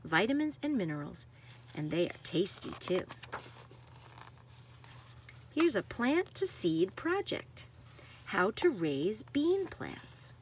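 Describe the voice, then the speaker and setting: A woman's voice: on the high side, slight background noise. A woman reading a nonfiction picture book aloud to children like a teacher, stressing key words.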